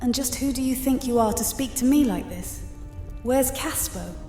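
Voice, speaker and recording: Haughty high priestess in echo played by a woman. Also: moderate pace, warm tone, close to an everyday voice. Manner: imperious, theatrical, defensive. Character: haughty, indignant high priestess, a fake oracle. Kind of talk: audio drama acting. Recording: echoing chamber reverb, music behind.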